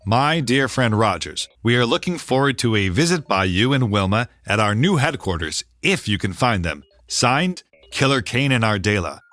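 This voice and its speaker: A man's voice: medium pitch, sing-song, normal speed, hardly any pauses, a bit bright, dry recording, faint music behind. An actor playing a square-jawed heroic man in an earnest 1930s radio serial style.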